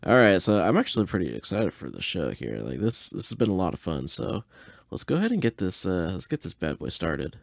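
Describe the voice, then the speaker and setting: A man with a fairly low voice chats casually as a podcast host. He is laid-back, rambling and self-deprecating, recorded close and dry. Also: sing-song, fairly quick, creaky, no room sound. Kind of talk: casual chat, reacting to an old radio show.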